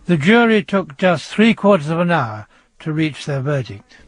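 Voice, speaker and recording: A man presenting a scripted true-crime radio programme, narrating in a measured storytelling manner. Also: on the high side, sing-song, slightly rough, no room sound.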